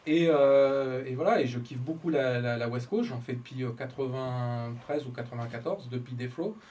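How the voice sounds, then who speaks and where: A middle-aged man explains his craft as an interviewed musician, informal and colloquial, halting and correcting himself. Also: medium pitch, darkish tone. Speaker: interviewed musician, talkbox player and producer. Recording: distant mic, noisy room background.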